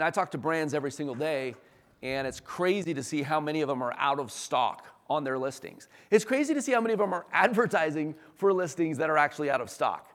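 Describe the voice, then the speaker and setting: Enthusiastic male presenter in a reverberant hall. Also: fairly high pitch. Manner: enthusiastic, conversational, emphatic. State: slightly hoarse. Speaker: company executive presenting. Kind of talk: informal business presentation to an audience. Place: large room, talk before an audience. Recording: echoey hall with long reverb.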